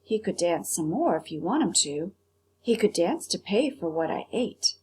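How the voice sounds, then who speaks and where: A woman's voice: lowish voice, very animated, moderate pace, warm tone, husky. A woman voicing a poor, polite street boy from a storybook. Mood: pleading. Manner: plain, deferential, folksy. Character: poor street boy, polite, humble, folksy Southern kid.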